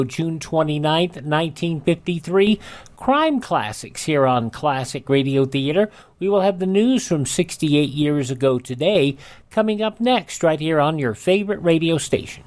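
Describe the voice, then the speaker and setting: A man's voice: a little high, a little mellow. A man hosting a radio programme in a conversational announcing style. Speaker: radio host reading a sponsor spot.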